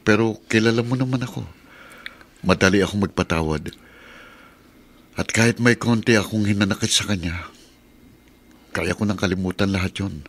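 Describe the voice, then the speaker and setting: A man playing a forgiving middle-aged father who drives a pedicab, acting in Filipino radio drama with an earnest manner that turns halting when moved. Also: on the low side. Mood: hurt, forgiving. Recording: radio drama with clear background noise.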